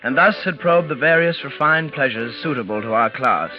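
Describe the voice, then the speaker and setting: An actor playing a restless young English gentleman who narrates in a measured, literary, dramatic radio style. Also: mid-range voice, expressive, close to an everyday voice. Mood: wry, reflective. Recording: muffled vintage radio recording. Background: music.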